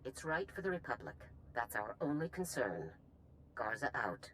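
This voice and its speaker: A woman's voice: a bass voice, sing-song, moderate pace, a bit bright, slight background noise, full character voice, rough recording. A woman playing a hard, unyielding middle-aged general in a video game, stern and commanding. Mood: grave, commanding. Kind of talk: scripted video game acting.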